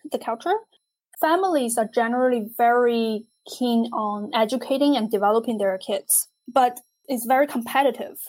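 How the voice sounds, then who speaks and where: A young adult woman from China, a physicist and artist, speaking in a measured, thoughtful way in a conversational interview. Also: a little high, darkish tone.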